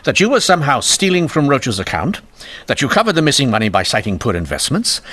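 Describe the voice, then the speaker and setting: A shrewd Victorian-era police inspector in a radio mystery. His delivery is highly animated and formal, with a sardonic, pointed edge. His pitch sits in a middle range for a man but moves freely. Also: quick pace, husky. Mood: accusing. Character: shrewd, dogged male police inspector in 1890s Canada. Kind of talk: old-time radio drama acting.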